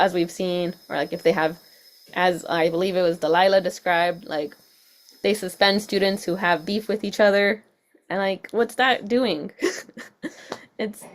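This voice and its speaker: Young woman on a video call, says like constantly, laid-back panel talk. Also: medium pitch. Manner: casual, lots of "like", laughs often. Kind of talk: casual panel discussion.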